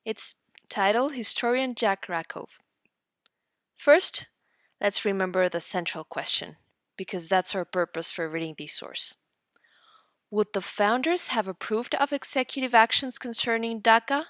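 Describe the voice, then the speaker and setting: A woman teacher reading aloud for students in an instructional way, deliberately and in short guiding phrases. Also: medium pitch, lively intonation, fairly bright tone, no room sound, clean studio sound. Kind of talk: instructional read-aloud lesson narration.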